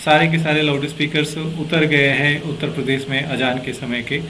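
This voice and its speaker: A man's voice: on the high side, little pitch movement, moderate pace, sharp tone, a little hiss or hum, poor audio. A man hosting a political interview show, explaining at length in a conversational, hesitant way.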